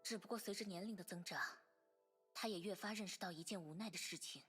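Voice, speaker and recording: A woman's voice: medium pitch, fast, natural voice. A woman playing a composed storyteller, reading scripted narration for a video game story. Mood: composed, solemn. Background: music.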